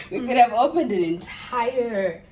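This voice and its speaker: A woman's voice: a little high, a little mellow. A woman from Zimbabwe, a makeup and hair artist, answering interview questions in a reflective, easygoing way and laughing easily. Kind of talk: casual interview chat. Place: indoors, Dubai. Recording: noisy background.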